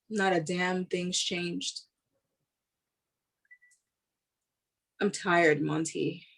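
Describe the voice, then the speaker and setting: A woman's voice: lowish voice, vocal fry, slightly rough, exaggerated voice. An actress playing a driven, weary woman police officer in a staged play reading, earnest and blunt in short emphatic phrases.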